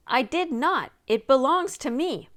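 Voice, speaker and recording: Gruff wiry seaman for a children's mystery, defiant, folksy, evasive female narrator doing the part. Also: sits high, animated, measured pace, a bit bright, pitched above the performer's own voice. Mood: indignant. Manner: grunting, uneducated folksy speech. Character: gruff, wiry, grizzled male sailor, shifty and defiant. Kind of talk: storybook read-aloud character voice.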